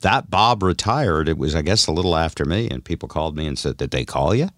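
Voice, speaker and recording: A man's voice: extremely low pitch, lively intonation, quick pace, no echo, clean studio sound. An older man hosting a radio show, chatting and telling stories casually in a conversational, wry way. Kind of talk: casual radio banter and storytelling.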